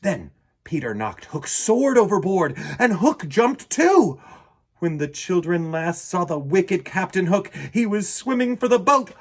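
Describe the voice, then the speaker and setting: A man telling stories to children as a host. His fairly high voice swings widely in pitch and moves at an unhurried pace. The delivery is theatrical, full of dramatic pauses. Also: sing-song. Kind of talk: animated storybook narration for children.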